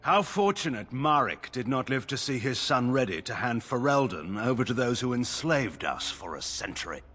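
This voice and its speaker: An actor playing a grim, distrustful older general in a video game, speaking in a stern, scornful manner. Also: male voice, medium pitch, sing-song, normal speed, fairly bright tone, faint music behind.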